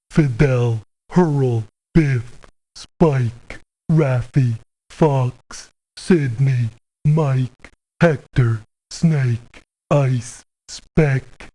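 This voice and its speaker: A man's voice: medium pitch, dark tone, low-quality recording. A man voicing a grumpy, combative video game mercenary who talks in terse, colloquial, confrontational lines. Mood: flat, terse. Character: grumpy, combative male mercenary, stubborn and folksy. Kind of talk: video game character voice acting.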